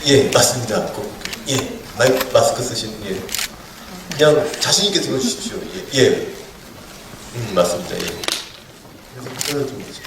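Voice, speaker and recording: A man moderating a film festival Q&A, brisk and polite, picking out audience members by row. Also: medium pitch. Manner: brisk, polite, counting out rows. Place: large cinema hall. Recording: echoey hall audience recording, distant and noisy.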